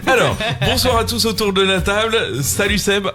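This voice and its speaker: A man's voice: high-pitched, animated, moderate pace, sharp tone, faint music behind. A man hosting a casual radio show, chatty and joking, with lots of fillers. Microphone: studio microphone at a shared table.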